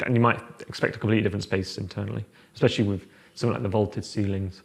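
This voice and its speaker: A young man with a low, gravelly voice talks in a relaxed, rambling way, full of fillers and restarts. He sounds like an architect explaining his design in a big open room of a house. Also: very fast. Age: young adult. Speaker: architect guest. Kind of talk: casual chat, house tour. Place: large open-plan house living room, indoors.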